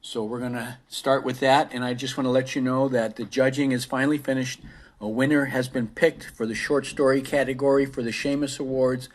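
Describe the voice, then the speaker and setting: A man introducing a show as its podcast host. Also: mid-range voice.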